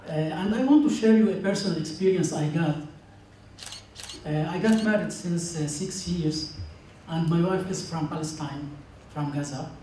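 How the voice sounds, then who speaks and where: A man in his thirties with a Lebanese accent, a native Arabic speaker, gives an earnest, halting personal speech to a congregation. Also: a little high, mellow. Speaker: church member giving a testimony. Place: large indoor church hall. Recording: very echoey church, distant mic, faint noise.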